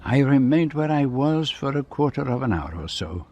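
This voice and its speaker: An actor playing a courteous, wry old Belgian detective with a French-speaking accent, speaking precisely and formally in a radio drama. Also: male voice, mid-range voice, very animated, moderate pace, mellow, breathy edge, muffled recording. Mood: wry, reflective. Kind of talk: radio drama dialogue and narration.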